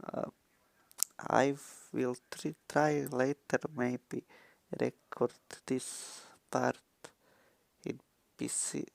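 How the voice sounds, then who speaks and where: Young male non-native English speaker, halting and very slow, gravelly, close dry mic. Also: medium pitch, level tone, no echo. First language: not English. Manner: halting broken English, many restarts. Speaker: tutorial vlogger. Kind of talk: rambling screen-recorded tutorial. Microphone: handheld microphone he keeps touching.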